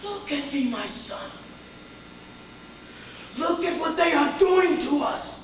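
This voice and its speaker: A man acts a shaken witness giving a dramatic stage monologue. The voice is high, and the storytelling is vivid. It comes from far off in a very echoey space. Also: normal speed, fairly bright tone. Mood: subdued, shaken. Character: shaken witness recounting township violence. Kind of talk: theatrical monologue. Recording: very echoey noisy hall, far off.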